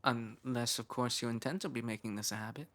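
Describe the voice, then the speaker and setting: A man voicing a driven, earnest young male scientist of poor roots in an intimate ASMR roleplay monologue, musing in measured phrases with thoughtful pauses. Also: mid-range voice, fairly even intonation, fairly bright tone, close to an everyday voice. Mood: softly amused.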